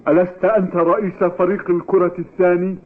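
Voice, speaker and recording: An actor playing an eager, good-natured young football player in a cartoon dub. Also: male voice, sits high, little pitch movement, quick pace, close to an everyday voice. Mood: chatty. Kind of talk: Arabic cartoon dub acting. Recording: tinny, faintly noisy TV dub.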